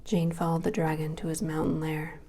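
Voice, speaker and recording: A woman reading a children's story aloud as ASMR in a soft, breathy whisper. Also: deep voice, monotone, moderate pace, a little hiss or hum.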